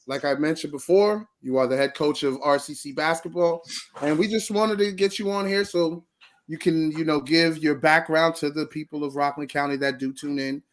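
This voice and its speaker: Upbeat male podcast co-host. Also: a little high. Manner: upbeat, talks over his co-host, says thank you often. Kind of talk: casual podcast chat.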